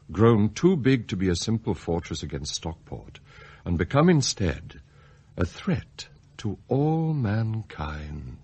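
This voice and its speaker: An English butler, proud and bitter, in a radio play. His voice is husky and swings very widely in pitch. He speaks in ornate, formal sentences like a passionate idealist with a scheme. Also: darkish tone, heavily acted. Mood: brooding. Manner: formal, ornate, theatrical phrasing. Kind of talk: radio drama acting, monologue and dialogue.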